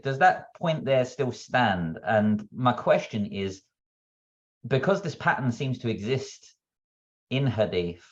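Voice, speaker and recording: A man from Texas asking a question at a discussion, speaking in a measured, courteous way and reading quotations aloud. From Texas, United States. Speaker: audience member asking a question. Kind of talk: polite question at an online discussion. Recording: dull, low-fidelity connection.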